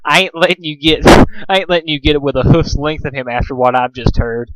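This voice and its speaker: A man's voice: on the high side, fairly even intonation, brisk, very noisy, close to an everyday voice. A man voicing a vicious, jealous female cartoon pony, cursing and threatening. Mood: menacing. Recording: dull lo-fi home recording.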